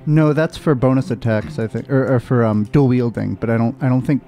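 A man's voice: mid-range voice, brisk, warm tone. A man playing a tabletop game, talking casually with the group. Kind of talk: casual actual-play table talk. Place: indoor room, around a gaming table. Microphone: close podcast microphone on stand. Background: faint music.

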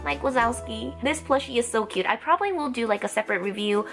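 Young woman doing a casual haul vlog, high bubbly voice, bit stuffy from a cold, faint music behind. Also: quick pace. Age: young adult. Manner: bubbly, excitable. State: stuffy, getting over a cold. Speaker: host of a toy haul channel. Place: indoor room lined with plush toys.

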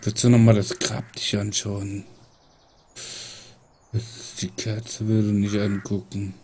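A man's voice: lowish voice, completely flat delivery, slow pace, mellow, slight background noise. A man with a stuffy nose from a cold, casually commenting while playing a game and teasing the character. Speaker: let's player.

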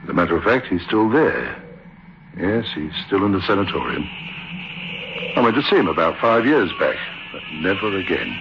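An actor playing a haunted theatre manager, speaking gravely in an old-time radio drama. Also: male voice, low voice, sing-song, moderate pace, noisy background. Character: haunted theatre manager recalling a horror he witnessed. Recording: muffled old radio recording.

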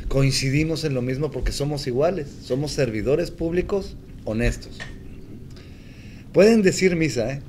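A middle-aged man speaks defiantly and with emphasis at a press conference. His voice is mellow and dark, recorded in a small meeting room with faint noise behind. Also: mid-range voice. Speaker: dissident party member at a press conference.

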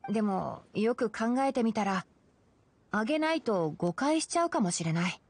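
A woman voicing a gentle, studious young male forest ranger in an anime video game, calm and instructive with a dry wit. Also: on the high side, normal speed, breathy edge, dry recording. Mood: matter-of-fact. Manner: calm, instructive, softly matter-of-fact.

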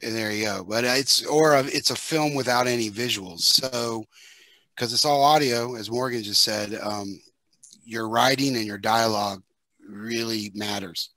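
American man, 40s, bright forceful voice, dry headset sound, informal panel guest. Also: mid-range voice, measured pace, no echo, rough recording. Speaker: writer-producer, guest panelist. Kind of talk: casual panel talk. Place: home room, indoors, video call. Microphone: headset with boom mic.

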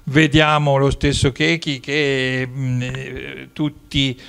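A man in his fifties hosting a football talk show in a studio, talking with animated gestures into a handheld mic. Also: medium pitch, takes it slowly, creaky, clean tone. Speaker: sports show host. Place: studio with dark curtain.

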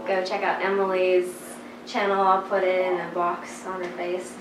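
A woman's voice: lowish voice, completely flat delivery, normal speed, noisy background. A young woman hosting a casual video with friends, chatty and bubbly, sitting on a couch in a living room. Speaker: host. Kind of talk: casual chat. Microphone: far camera. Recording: echoey room, camera at a distance.

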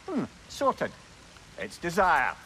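A man's voice: fairly high pitch, wildly swooping pitch, slow pace, background noise, full character voice. A man playing a fussy, articulate, competitive dwarf in a video game. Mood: competitive, indignant.

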